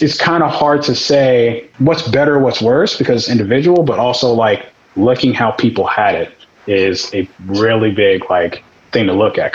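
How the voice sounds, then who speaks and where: A man's voice: medium pitch, lo-fi sound. A man in casual podcast chat, speaking bluntly with some swearing and acting out the people he quotes.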